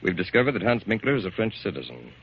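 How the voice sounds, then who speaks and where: Brisk, fast-talking police captain. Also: male voice, fairly low pitch, big pitch swings. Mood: businesslike. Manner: clipped, official. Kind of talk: 1940s American radio drama acting. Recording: muffled, faintly noisy 1940s radio recording.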